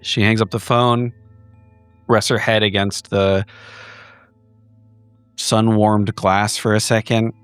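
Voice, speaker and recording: Low-pitched man, tabletop horror player, narrating in measured descriptive phrases, close dry sound. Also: level tone, smooth, no room sound, faint music behind.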